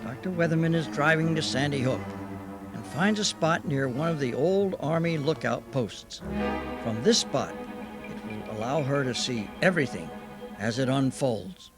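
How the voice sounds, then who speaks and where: A man's voice: mid-range voice, expressive, normal speed, music in the background. A man giving scripted narration as the narrator of an old-time radio drama.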